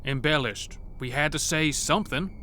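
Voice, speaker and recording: A male actor playing an irritable, defensive man in a horror audio drama, sharp and impatient. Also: on the high side, normal speed, slight background noise.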